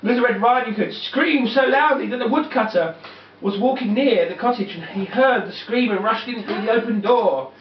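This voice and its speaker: A woman's voice: on the high side, moderate pace, distant mic. A woman telling a children's story as an amateur puppet-show narrator, sometimes stumbling over her words. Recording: dull, noisy, echoey home-video from afar.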